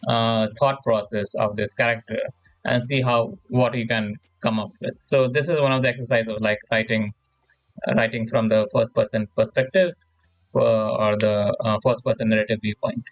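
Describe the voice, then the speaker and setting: A man giving an informal tutorial lecture in a halting, repetitive way, often checking in with the listener. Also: mid-range voice, fairly even intonation, dry recording, rough recording. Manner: halting, repetitive, often seeks agreement with "right?". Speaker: tutorial presenter. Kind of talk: informal lecture explaining slides.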